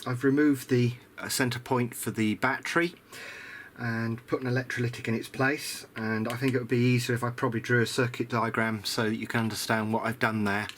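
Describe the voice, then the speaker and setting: A man's voice: mid-range voice, fairly bright tone. Man musing aloud over noisy recording. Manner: hesitant, musing, thinking aloud. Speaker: hobbyist radio repairer presenting a restoration. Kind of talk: casual workbench commentary.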